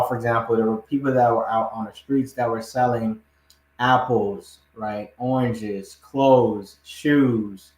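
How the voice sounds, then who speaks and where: A man from Ghana who grew up partly in the United States, telling a personal story as a podcast guest in an emphatic, repetitive conversational way. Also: mid-range voice. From Ghana, moved to the United States aged eight. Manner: emphatic, repetitive, often checks in with "right?". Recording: roomy, echoing room sound.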